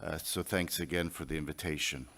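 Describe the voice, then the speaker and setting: A man with an American accent giving a prepared speech at a conference, measured and pausing often on "uh" between phrases. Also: very deep voice, fairly even intonation, fairly bright tone. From United States. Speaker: conference speaker.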